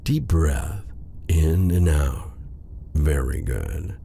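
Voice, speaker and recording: Casting: male actor as suave evil mage for fantasy roleplay audio, extremely low gravelly voice, silky and menacing. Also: very slow, mellow, slight background noise, exaggerated voice. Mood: smug, soothing. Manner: theatrical, silky, taunting endearments. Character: suave, menacing dark male sorcerer, tender captor. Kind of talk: ASMR fantasy roleplay acting.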